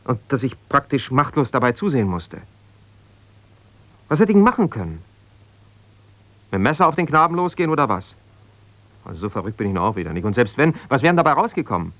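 An actor playing a wounded, self-justifying husband in a naturalistic radio drama, talking in a hesitant, searching, conversational way. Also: male voice, mid-range voice, big pitch swings, fast, long pauses, a bit bright, no echo. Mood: indignant, defensive. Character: defensive, wounded husband pouring out his troubles to a confidante. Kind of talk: naturalistic 1970s German radio drama monologue.

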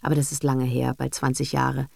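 A brisk, self-assured husband and father as a woman reader acts him, all business and cynicism in an audiobook. He is bossy and sardonic, with a creaky voice in a dry, close sound. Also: rumbling low, little pitch movement, darkish tone, dry recording, heavily acted. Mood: knowing, cynical. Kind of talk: literary audiobook character acting.

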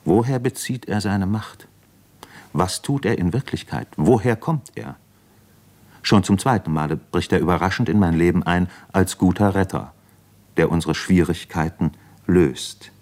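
Radio play: ailing introspective diarist, deep-set low register, brooding, halting, self-questioning. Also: male voice, a little mellow. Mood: puzzled. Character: brooding, ailing man writing an introspective diary. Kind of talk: literary radio play monologue.

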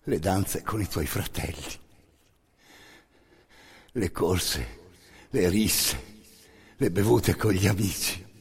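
A man performing an intense theatrical monologue, narrating in emphatic short phrases with a hoarse, gravelly voice. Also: low voice, lively intonation, slow pace, long pauses. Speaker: stage actor narrating a monologue. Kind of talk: dramatic second-person theatrical narration.

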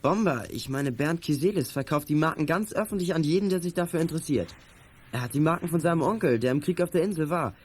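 An actor playing an eager, earnest teenage schoolboy who works as an amateur detective in a children's audio drama. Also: male voice, a little high, fast, darkish tone, close to an everyday voice. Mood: startled, indignant. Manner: eager, polite, confident.

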